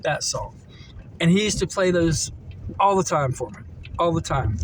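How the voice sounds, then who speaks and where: A middle-aged American man reminiscing casually, in a halting, meandering way as he thinks aloud. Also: fairly high pitch, lively intonation, slight background noise. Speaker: vlogger telling personal stories. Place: camper van.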